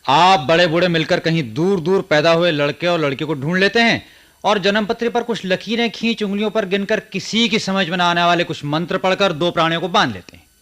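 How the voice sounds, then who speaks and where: A man's voice: high voice, brisk, fairly bright tone. An actor playing a stubborn, educated younger man in a radio play, reasoning and arguing. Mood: sceptical, challenging. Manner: argumentative, reasoning. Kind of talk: Hindi radio play acting.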